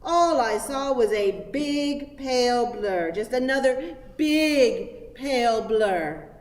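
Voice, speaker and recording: An actress playing a prim, pious, dreamily distracted spinster in a radio play, with an affected, fluttery, sanctimonious manner. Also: female voice, on the high side, animated, slow, a little mellow. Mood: vague, distant. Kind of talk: theatrical radio play acting. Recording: echoey hall with long reverb.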